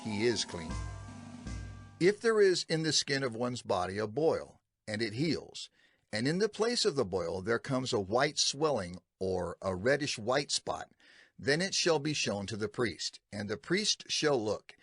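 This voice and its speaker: A man reading scripture as a scripted audio Bible narrator, solemn and measured, with emphatic phrasing. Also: mid-range voice, sing-song, fairly bright tone, slightly rough, dry recording, clean studio sound. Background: background music.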